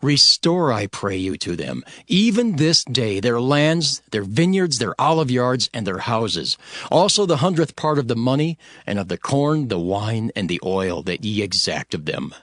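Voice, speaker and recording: A man playing an earnest, stern governor, narrating his own account in a solemn, measured dramatized scripture reading. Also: mid-range voice, big pitch swings, a bit bright, no room sound, clean studio sound. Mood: stern rebuke. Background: background music.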